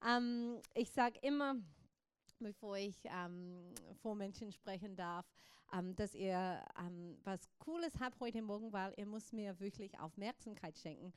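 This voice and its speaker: A woman in her thirties from Australia, a pastor who speaks German as a learned language with an Australian accent, giving a casual sermon with many fillers and quiet prayers. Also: mid-range voice, clean tone. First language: English. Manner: chatty and warm with many ums, soft and slow in prayer.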